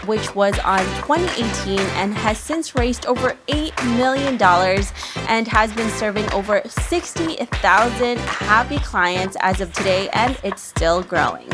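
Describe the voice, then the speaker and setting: A woman hosting a podcast interview, upbeat and effusive as she introduces and questions her guest. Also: medium pitch. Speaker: podcast host.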